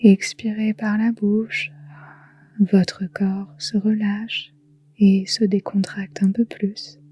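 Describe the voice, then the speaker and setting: A woman guiding a relaxation meditation in a soft, whispery, calm and soothing voice, leaving long pauses. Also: medium pitch. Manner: soft, breathy, whispery delivery. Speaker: yoga nidra guide.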